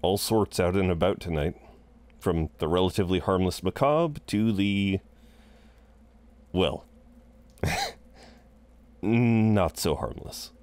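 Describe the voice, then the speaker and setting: A man's voice: low voice, expressive, takes it slowly, pauses often, darkish tone. A man playing a flirtatious, chatty incubus innkeeper in a roleplay audio drama, welcoming and rambling with hesitant asides. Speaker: innkeeper at a front desk.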